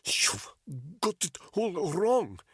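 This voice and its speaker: A man voicing a desperate male Russian captive who pleads and shouts in pain, with a thick Russian accent. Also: high voice, sing-song, drawn-out words, husky, no room sound, studio quality, pitched above the performer's own voice, full character voice. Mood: frantic.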